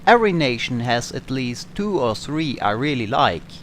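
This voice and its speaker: Austrian-accented young man, lively pitch. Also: normal speed, a little hiss or hum. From Austria, Tyrol. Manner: calm, explanatory. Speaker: gaming channel host. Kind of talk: Q&A over flight game footage.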